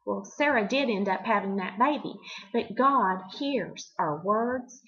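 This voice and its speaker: A middle-aged woman hosting a devotional for children, teaching in simple, expressive words and putting questions to the listener. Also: mid-range voice, unhurried, highs cut off. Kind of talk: devotional talk addressed to children, with storytelling.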